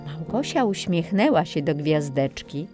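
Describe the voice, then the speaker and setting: A woman narrating a scripted children's bedtime story in a gentle, expressive storytelling manner. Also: low-pitched, measured pace, soft background music. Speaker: audiobook narrator.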